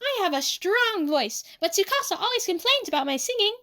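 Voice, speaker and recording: A woman's voice: very high-pitched, sing-song, a little fast, bright, forceful tone, voice pushed up, exaggerated voice. A woman doing a squealing, hyper teen girl. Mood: excited. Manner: bubbly and squealing.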